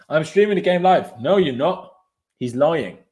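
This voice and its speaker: A man's voice: on the high side, expressive, takes it slowly, darkish tone. A young man from Austria whose first language is German talks through a football match live for his stream. He is chatty and opinionated, calls the play, and reads viewer comments aloud as they arrive. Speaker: fan watchalong streamer. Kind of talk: casual live match commentary and chat reading.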